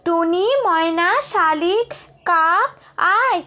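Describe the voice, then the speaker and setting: A woman's voice: very high-pitched, slow, pushed and bright, pitched above the performer's own voice, exaggerated voice. A woman voicing a kind, caring young girl in a cartoon folk tale. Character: kind, generous young girl who feeds birds.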